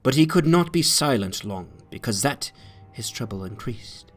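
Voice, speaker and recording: A man giving a solemn, deliberate dramatic reading of old literary prose as narrator. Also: medium pitch, expressive, soft background music.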